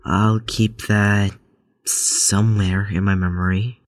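Reticent young male patient, acted: low register, extremely slow, terse, halting delivery. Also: flat delivery, no room sound, studio quality. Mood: reluctant. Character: withdrawn, reticent young male new patient named Nikolai. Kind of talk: audio drama acting.

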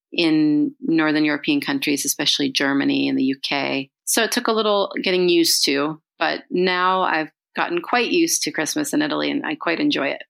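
A woman leaving an upbeat, chatty voice message as a podcast contributor, slowing down to stress points. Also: deep voice, gravelly, a bit bright. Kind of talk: recorded voice message.

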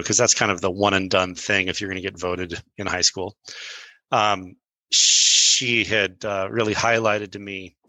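Bright-voiced man chatting loosely. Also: lowish voice, no room sound, poor audio. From Brazil. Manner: rambling, many fillers and restarts. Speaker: guest. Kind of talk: casual podcast chat.

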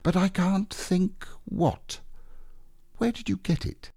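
Man playing a simple storybook bear, high-pitched, mellow, humble, dreamy, hesitant. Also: fairly even intonation, takes it slowly, no echo, clean studio sound, exaggerated voice. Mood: puzzled. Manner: hesitant, wistful, simple-minded. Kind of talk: storybook character reading.

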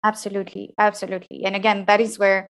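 High-voiced woman, frank interview guest. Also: flat delivery, fast talker. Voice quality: fairly high-pitched voice. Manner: thoughtful, frank, with frequent restarts. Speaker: interview guest, PhD graduate. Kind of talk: reflective interview answers.

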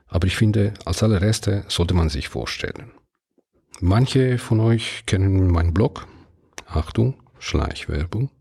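A man's voice: deep voice, lively intonation, measured pace, creaky, a little mellow, slightly rough. A man in his fifties from Ticino, a native Italian speaker with an Italian accent, hosting his solo podcast in a candid, conversational way with small hesitations. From Ticino, Switzerland. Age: 50s. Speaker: podcast host.

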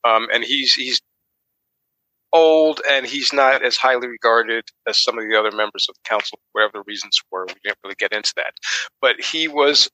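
A man's voice: mid-range voice, moderate pace, sharp tone, no echo, poor audio. A man co-hosting a podcast, giving a casual book summary in an informal, tentative way. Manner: tentative, informal, hedging as he explains. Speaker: podcast co-host.

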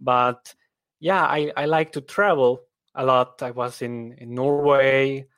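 Slow, halting Swiss German man. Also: medium pitch, steady tone, fairly bright tone. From Switzerland. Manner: halting, with frequent restarts and "right?" tags. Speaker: podcast guest. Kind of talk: casual interview chat.

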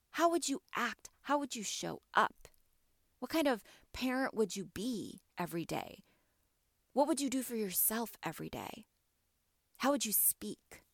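A mother hosting a motivational podcast, her voice a little raspy from allergies, talking earnestly and encouragingly and asking her listeners question after question. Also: mid-range voice, no room sound, clean studio sound. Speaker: podcast host.

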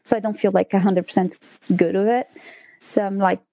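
A woman's voice: mid-range voice, steady tone, a little fast, dark tone, no echo. Chatty Brazilian-accented woman guest. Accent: Brazilian Portuguese. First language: Portuguese. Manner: chatty, many fillers, laughs often. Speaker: guest author. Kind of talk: casual interview chat.

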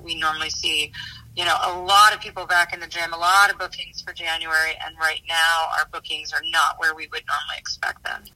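A woman's voice: low-pitched, little pitch movement, brisk, pushed and bright, dry recording, poor audio. A woman giving an unscripted interview answer as a gym owner.

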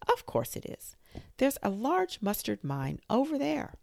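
A woman's voice: a little high, wildly swooping pitch, measured pace, creaky, darkish tone, no echo, studio quality, pitched above the performer's own voice, exaggerated voice. A woman voicing a fussy, moralizing old Duchess in a storybook reading, with a preachy, sing-song manner.

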